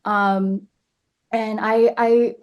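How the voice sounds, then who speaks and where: A woman's voice: on the high side, flat delivery, drawn-out words, clean tone, dull audio. A young woman who grew up in the United States, chatting casually as an interview guest, laughing often and restarting her phrases. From born in Vietnam, grew up in Nashville, USA. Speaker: guest actress.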